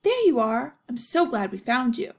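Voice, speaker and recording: A woman's voice: on the high side, very animated, moderate pace, a little mellow, slightly rough, exaggerated voice. A woman playing a kindly, orderly female schoolteacher instructing her class in a children's storybook. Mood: relieved.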